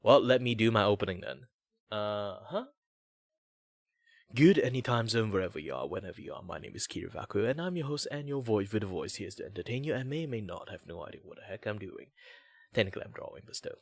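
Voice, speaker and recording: A man's voice: on the low side, lively intonation, fast talker, no echo, clean studio sound. A young man with a Malaysian English accent, hosting a casual stream while he draws and rambles chattily with lots of fillers. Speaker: streamer host. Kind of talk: casual chat while drawing.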